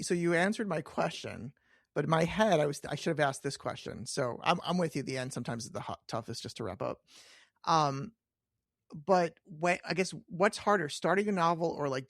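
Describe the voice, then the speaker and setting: Man, podcast host, dry close sound, casual conversational questions, halting phrasing. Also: a little high, expressive, vocal fry, no room sound.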